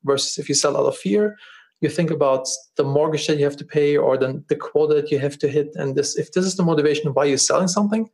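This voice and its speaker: A man's voice: a little high, fast talker, darkish tone. A man with a German accent, a guest on a podcast, talking earnestly in a casual interview and often repeating words as he restarts. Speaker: podcast guest, business founder.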